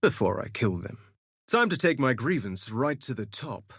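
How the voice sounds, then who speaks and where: Smug flirty swordsman from a video game, suave and theatrical, mid pitch, super dry sound. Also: sing-song, moderate pace, a bit bright, husky, dry recording, exaggerated voice. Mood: cocky, wry. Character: cocky, vain, flirtatious male duelist gladiator. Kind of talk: scripted game voice lines, theatrical quips.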